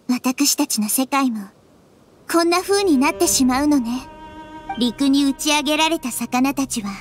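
A woman voicing a wise, caring little-girl deity in an anime game, soft, thoughtful and measured. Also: sits high. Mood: thoughtful, grave. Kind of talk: Japanese anime game voice acting. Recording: music behind the voice.

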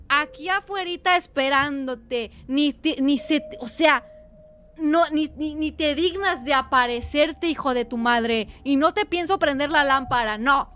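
A woman's voice: high-pitched, pushed and bright. A woman with a Mexican accent commentating a horror game as a streamer, dramatic and teasing, sometimes breaking into song. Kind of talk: gaming livestream commentary.

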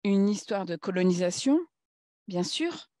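A woman speaking at a conference in a formal political talk, halting and searching for words as she goes. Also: mid-range voice, expressive, mellow, no room sound, studio quality.

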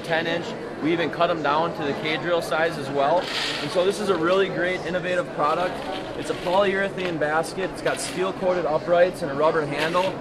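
A young man giving a confident sales pitch for a product at a trade show booth. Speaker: company representative. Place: busy expo hall booth. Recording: loud background noise.

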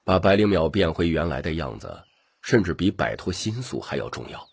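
A man with a rough voice narrating a scripted audiobook story. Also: sits low, big pitch swings, moderate pace.

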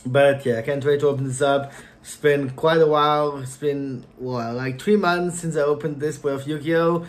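A young man whose first language is Portuguese, chatting casually at home in his gaming room, with lots of filler words and sudden excited bursts. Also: on the high side, moderate pace. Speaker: card opening vlogger. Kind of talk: casual unboxing chat. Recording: faint crinkling and desk noise.

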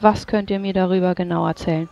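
A game master narrating and speaking in a formal, measured way as a stern official in a tabletop role-playing game. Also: medium pitch, fairly even intonation, creaky, darkish tone. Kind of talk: tabletop role-play narration and in-character dialogue.

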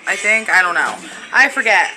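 A woman's voice: medium pitch, animated, moderate pace, sharp tone, faint music behind, low-quality recording. A woman in her twenties giving a rambling, casual vlog update from her home kitchen, filming herself on a phone held close. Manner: chatty, rambling, lots of fillers. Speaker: family vlogger. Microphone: phone camera held close.

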